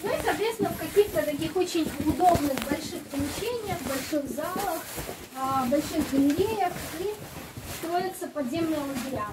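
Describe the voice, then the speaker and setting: A woman working as a cave guide, explaining informally and haltingly, with many pauses. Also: sits high, animated. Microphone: handheld camera a few steps away. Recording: echoey, distant, noisy cave recording.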